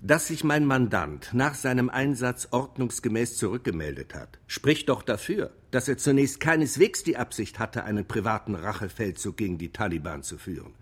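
A man's voice: mid-range voice, animated, moderate pace, few pauses, close to an everyday voice. A man playing an earnest defence lawyer in a radio drama, arguing and probing in formal, emphatic language. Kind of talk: German radio drama acting, courtroom argument.

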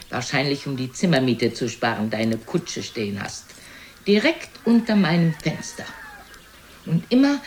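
Low-voiced, scolding, sarcastic old mother. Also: a little mellow, exaggerated voice. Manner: scolding, sarcastic, theatrically indignant. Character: reproachful, sharp-tongued elderly mother of Casanova. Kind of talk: German radio play acting.

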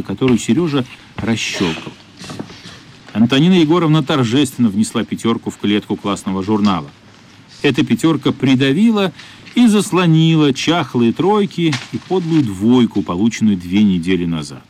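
A man narrating a radio play in an expressive, measured literary storytelling style. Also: mid-range voice, a little hiss or hum.

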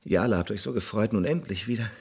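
A guilt-ridden old man in a science-fiction radio drama. His voice is low and husky, and his comes haltingly, with weary repetitions. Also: darkish tone. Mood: anguished. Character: guilt-ridden, brooding old former policeman. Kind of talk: German science-fiction radio play acting.

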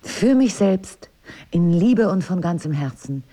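Wistful, ironic wife, lower-pitched, lively melodic delivery, teasing, tender, intimate radio play. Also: female voice, unhurried. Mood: reassuring, teasing. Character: wry, tender wife of amnesiac husband, quietly wistful. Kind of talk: intimate radio drama acting.

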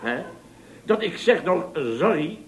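A man's voice: sits high, lively intonation, measured pace. An actor playing a flustered, indignant, chatty man in a radio comedy, rambling and repeating himself as he tells his story. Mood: bewildered. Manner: rambling, repetitive storytelling with stammers and rhetorical tags. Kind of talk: radio comedy acting in a dialogue sketch. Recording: radio recording with audience behind.

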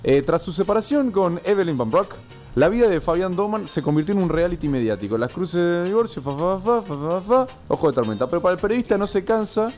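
An Argentine man with a fairly high voice talks casually as a radio co-host. His delivery is colloquial and joking, and some music plays behind him. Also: big pitch swings, fast, darkish tone. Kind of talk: casual chat.

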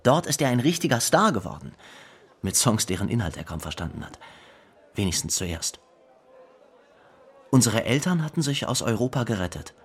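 A man's voice: medium pitch, big pitch swings, moderate pace, pauses often, lightly acted. An actor playing a grown man who reflects on his late brother in a plainly told radio drama monologue. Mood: reflective, wistful. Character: grown younger brother fondly recalling his famous late brother.

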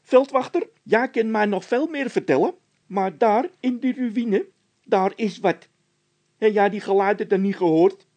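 A man voicing a stubborn, frightened workman who insists and complains loudly in a storybook tale. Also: high-pitched, unhurried, pitched above the performer's own voice. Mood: insistent, scared.

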